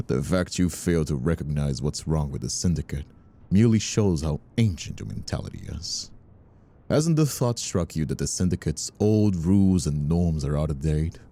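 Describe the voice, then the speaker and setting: An actor playing a menacing, condescending mobster villain in an audio roleplay, taunting with a drawl. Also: male voice, a bass voice, lively intonation, normal speed, warm tone. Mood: defiant, menacing. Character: menacing, mocking ambitious mobster villain, adult man. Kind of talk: dramatic audio roleplay acting.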